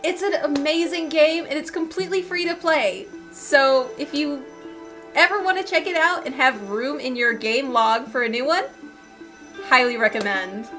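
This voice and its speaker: A woman's voice: high-pitched, moderate pace, fairly bright tone. A lighthearted, enthusiastic woman giving casual gameplay commentary as a streamer. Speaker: game streamer. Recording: game music under the voice.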